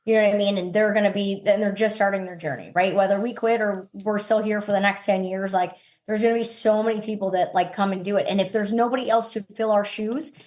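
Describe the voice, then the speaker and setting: Female podcast guest, mid-pitched, conversational champion athlete who talks in chatty runs and keeps tagging points for agreement. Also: fairly even intonation, quick pace, few pauses, a little mellow. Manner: chatty, tags points with "right?" and "you know what I mean". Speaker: interview guest, champion cornhole player. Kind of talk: casual podcast interview answer.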